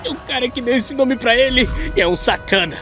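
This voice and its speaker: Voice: mid-range voice, moderate pace, fairly bright tone, faint music behind, lightly acted. An actor voicing a brash, mocking young male anime hothead in a parody debate, taunting and exclamatory. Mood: mocking, dramatic.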